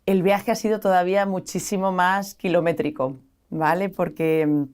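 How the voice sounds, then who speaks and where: A woman speaks with a strong Basque Spanish accent in a smooth, resonant voice. She is a studio interview guest who builds long, thoughtful arguments point by point. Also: on the low side, steady tone, moderate pace, darkish tone. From San Sebastián, Spain. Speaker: guest, association founder.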